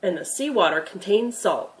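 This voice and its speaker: A woman's voice: mid-range voice, animated, normal speed, close to an everyday voice, poor audio. A woman voicing a patient, knowledgeable grandfather who explains things emphatically in a children's storybook reading. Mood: patient, explanatory.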